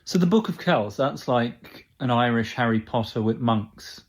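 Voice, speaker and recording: A man's voice: medium pitch, lively intonation, measured pace, slightly rough. A man playing an overconfident, clueless tourist in a satirical comedy sketch. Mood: smugly clueless. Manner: blundering, insistent.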